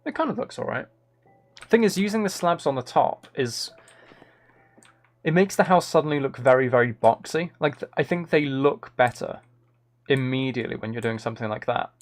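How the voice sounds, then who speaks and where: A soft-spoken man chatting casually on a gaming livestream, thinking aloud in a musing, tentative way as he builds. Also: mid-range voice, lively intonation, moderate pace. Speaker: livestream host.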